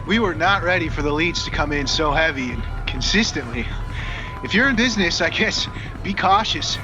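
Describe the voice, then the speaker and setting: A solemn male disaster survivor played by an actor in an audio comedy. His somewhat high voice sounds defeated and cautionary, with clear music behind. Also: animated, normal speed, natural voice, poor audio. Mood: grim. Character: grave, defeated male marketing survivor interviewed on scene. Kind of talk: mock news interview in audio drama.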